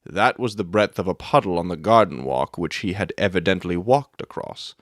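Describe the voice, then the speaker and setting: A man's voice: low voice, lively intonation, normal speed, no room sound, clean studio sound. A man playing a brilliant, proud detective in a dramatic audiobook reading, lecturing in a precise, self-satisfied, matter-of-fact way. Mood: explaining, confident.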